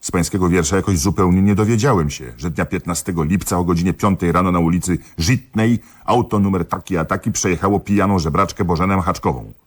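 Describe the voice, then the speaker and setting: A man's voice: deep voice, fast talker, few pauses, breathy edge. A man acting in a radio play as a dry, pedantic police commissioner, questioning methodically and insistently with a sardonic edge. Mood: baffled, sardonic.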